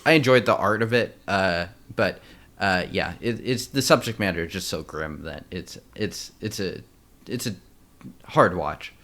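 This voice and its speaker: Low-voiced man with lively pitch swings. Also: measured pace. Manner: hesitant, informal. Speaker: film podcast co-host. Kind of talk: casual film discussion.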